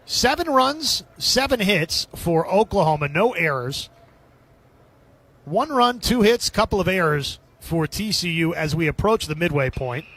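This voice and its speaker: Male play-by-play announcer for radio baseball, high-pitched and husky in tone. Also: big pitch swings, unhurried, fairly bright tone. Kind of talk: live radio sports commentary.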